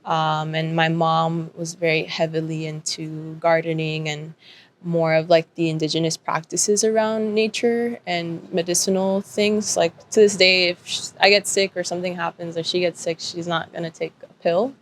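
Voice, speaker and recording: A woman artist on a panel, speaking thoughtfully with many pauses and fillers. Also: on the low side, flat delivery. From Dominican and Peruvian family. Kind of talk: panel discussion.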